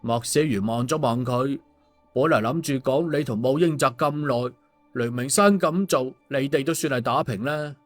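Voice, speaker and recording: A man's voice: medium pitch, normal speed, soft background music. A man narrating an audiobook as a storyteller in a colloquial manner. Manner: colloquial, with sentence-final particles.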